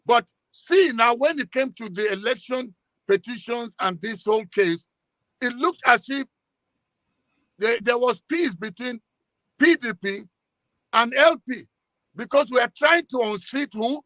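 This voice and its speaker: High-pitched Nigerian man making emphatic political points. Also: lively intonation, a little slow, long pauses, bright, forceful tone, no room sound. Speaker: guest political commentator. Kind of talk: impassioned live political discussion.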